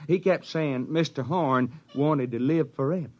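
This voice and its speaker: An actor playing a dim, reluctant enlisted soldier in a 1950s radio drama, folksy, deferential and hesitant. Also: male voice, a little high, unhurried. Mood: flustered. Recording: dull vintage 1950s radio recording.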